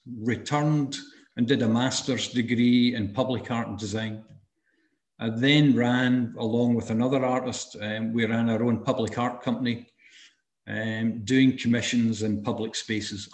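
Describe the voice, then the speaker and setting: A man's voice: mid-range voice, roomy sound. A Scottish man in his sixties, giving an oral history interview over a video call, speaking thoughtfully with many ums and restarts. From Scotland. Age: 60s. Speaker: interviewee, arts and health practitioner.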